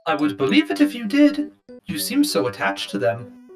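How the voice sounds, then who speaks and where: A woman's voice: rumbling low, huge pitch swings, moderate pace, soft background music, heavily acted. A woman playing a blunt, teasing older sibling who sounds skeptical and sardonic. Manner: sardonic, questioning.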